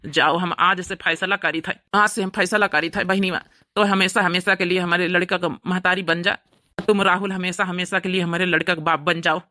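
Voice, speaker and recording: Man acting an earnest, bright-voiced Awadhi elder brother. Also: high-pitched, fairly even intonation, moderate pace, a little hiss or hum. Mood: moved, resolute. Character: earnest self-sacrificing brother giving up his son, emotional. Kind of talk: homemade cartoon family drama voice-over.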